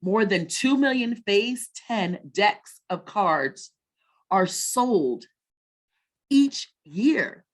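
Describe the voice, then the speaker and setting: A woman's voice: mid-range voice, expressive, extremely slow. A woman hosting a webinar for children, talking conversationally in an encouraging, teacher-like way with leading questions. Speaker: host of a children's story time webinar.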